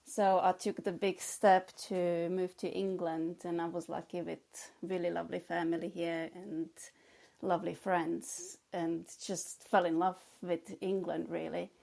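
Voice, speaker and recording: Lady, low flat voice, telling her story over a video call. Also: a little slow, creaky. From Czech Republic. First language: Czech. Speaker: guest artist.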